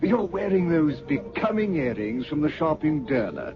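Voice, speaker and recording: An actor playing a formal, attentive, flattering shipboard host in an old-time radio drama. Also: male voice, fairly high pitch, normal speed. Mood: courteous, admiring. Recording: muffled old radio recording.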